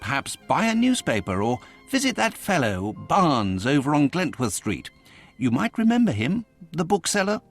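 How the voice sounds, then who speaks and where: An actor playing a genial, busy Victorian physician in a video game, chatty and formal in an old-fashioned way. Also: male voice, fairly high pitch, wildly swooping pitch, moderate pace, creaky, soft background music, exaggerated voice. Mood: reassuring. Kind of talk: video game cutscene acting.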